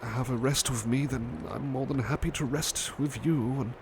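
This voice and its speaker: A man playing a gentle, bashful butler turned into a cuddly plush doll in an ASMR roleplay, speaking tenderly and politely with hesitant pauses. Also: medium pitch, fairly even intonation, noisy background, lightly acted. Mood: sleepy, affectionate. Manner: hesitant, polite, tender, halting with soft repetitions. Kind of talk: ASMR roleplay, soothing personal attention.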